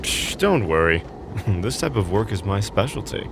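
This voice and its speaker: Man acting a smug, swooping gentleman thief. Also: on the low side, very animated, moderate pace, vocal fry, noisy background. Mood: smug, teasing. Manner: smug, playful. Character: vain, flippant gentleman thief. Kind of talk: anime game fandub acting.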